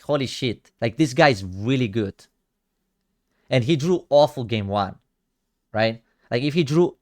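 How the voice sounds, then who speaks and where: Young cypriot guy w greek accent streaming, laid back slangy chat, mid pitch, mutters sometimes. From Cyprus. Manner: chatty, slangy, talks to chat, often drops to a mutter. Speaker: streamer reviewing card games. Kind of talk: casual stream commentary with chat.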